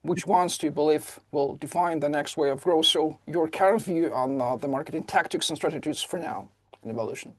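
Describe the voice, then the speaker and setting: A man who speaks Russian natively hosts a podcast interview, enthusiastic and a little halting in his phrasing. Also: a little high, dry recording. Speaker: podcast host. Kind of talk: casual interview hosting.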